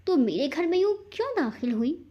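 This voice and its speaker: A woman's voice: sits high, big pitch swings, muffled recording, voice pushed up. Woman narrator as a cool, menacing young man. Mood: calm, probing. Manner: measured, threatening.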